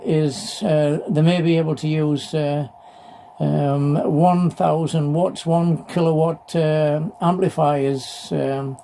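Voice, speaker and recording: Mature male hobbyist vlogger, slightly high voice, hesitant unscripted delivery with repeated phrases, some background noise. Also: mellow. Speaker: amateur radio hobbyist presenting a video. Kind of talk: casual unscripted vlog talk. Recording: clearly noisy background.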